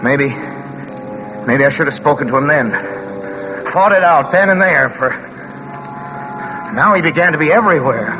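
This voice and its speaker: An actor playing a frightened, haunted man driving alone, narrating intensely and haltingly in 1940s radio drama style. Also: fairly high pitch, lively intonation, highs cut off, natural voice. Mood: mounting dread. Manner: intense, halting, repeating himself. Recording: old 1940s radio broadcast with music.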